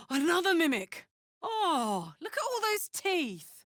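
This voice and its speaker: A woman's voice: extremely high pitch, very animated, slow pace, fairly bright tone, no echo, clean studio sound, full character voice. A woman voicing a brash, hot-blooded, big-hearted warrior woman in a video game, with an earthy, blunt, sweary and emotionally open manner. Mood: excited battle cries. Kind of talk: video game character voice lines.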